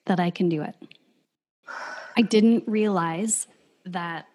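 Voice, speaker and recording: Female podcast host in her thirties, slightly low pitch, warm and reflective, sincere conversational delivery. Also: slow. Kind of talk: casual podcast chat.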